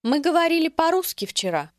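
High-voiced woman enunciating phrases carefully. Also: very animated, a little fast, dry recording, clean studio sound. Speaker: native-speaker model in a course. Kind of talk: clear model pronunciation for repetition.

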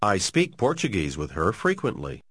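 Lesson narrator, male, lowish husky voice, lively pitch, dry studio sound. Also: dry recording. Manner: clear, measured prompting. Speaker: course narrator. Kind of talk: scripted language-lesson narration.

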